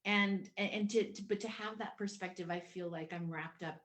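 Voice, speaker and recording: A woman born in the Philippines whose first language is Tagalog, speaking on a panel in a casual, animated way with many fillers and restarts. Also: mid-range voice, moderate pace. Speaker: panel guest.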